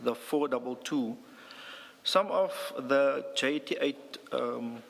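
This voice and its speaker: A man giving formal testimony as a witness, deferential and somewhat halting. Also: medium pitch, vocal fry, fairly bright tone. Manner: deferential, halting, addresses the commissioner. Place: large hearing room. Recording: reverberant hall.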